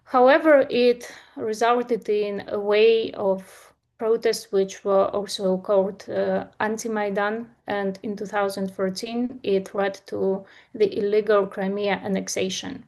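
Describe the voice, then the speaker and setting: A woman in her thirties from Eastern Ukraine, speaking English with a Ukrainian accent, presenting a talk with earnest delivery and frequent pauses. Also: mid-range voice, steady tone, a little mellow. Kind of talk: presentation to an online audience.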